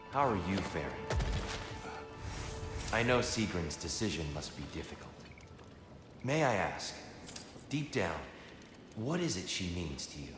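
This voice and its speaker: An actor playing a gentle, wise god in a video game, speaking in a measured, philosophical and questioning manner. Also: male voice, deep voice, very animated. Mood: gentle concern. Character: gentle, wise male god, reflective counsellor. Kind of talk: dramatic video game dialogue. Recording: echoing hall with long reverb. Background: music.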